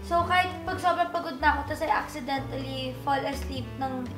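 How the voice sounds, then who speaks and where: A high-voiced young woman tells stories in a casual chat, adding emphatic asides. The recording has a roomy kitchen echo, with music playing behind. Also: normal speed. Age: young adult. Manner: storytelling, mixes in emphatic asides. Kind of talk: casual chat among friends. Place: home kitchen, indoors.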